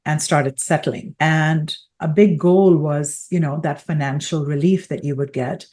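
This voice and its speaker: Podcast host, woman, middle-aged, from Pakistan; low-pitched, measured, explanatory, lots of "you know". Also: steady tone, darkish tone. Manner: thoughtful, explains with many fillers and restarts. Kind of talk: conversational interview.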